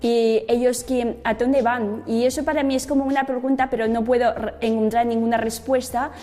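A woman's voice: on the high side, fairly even intonation, moderate pace, mellow, in a large hall. A woman in her thirties from China, speaking Spanish with a Chinese accent, telling her life story hesitantly with many fillers. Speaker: interviewee giving a testimony.

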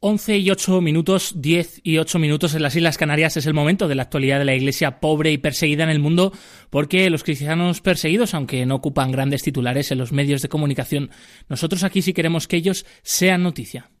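A man's voice: on the high side, brisk. A man presenting a radio programme as its host. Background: music.

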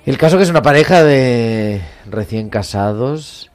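A man's voice: medium pitch, animated, unhurried, darkish tone, faint music behind. A man hosting a live radio interview in a studio, welcoming his guests in a jovial, joking way. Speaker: radio host.

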